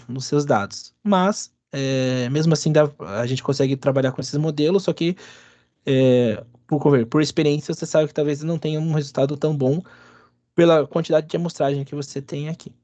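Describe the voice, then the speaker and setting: A man with a bit of a cold, giving a halting, filler-heavy live coding lesson at his computer. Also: a little high, fairly even intonation, mellow, no echo. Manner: halting, many restarts and fillers. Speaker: instructor. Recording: dry, dull lo-fi sound.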